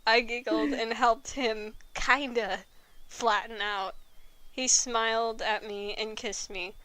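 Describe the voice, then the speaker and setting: A woman's voice: fairly high pitch, fairly even intonation, slow, background noise. A woman reading fan fiction aloud in a casual, comedic way with a friend, giggly and hesitant, throwing in asides.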